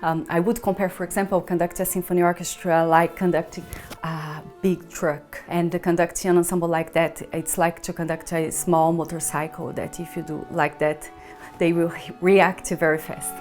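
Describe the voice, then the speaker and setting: Italian-Brazilian-accented woman conductor, low voice. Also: little pitch movement, normal speed, a little mellow, faint music behind. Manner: thoughtful, searching for words, hums rhythms. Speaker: orchestra conductor. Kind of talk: promotional interview talk.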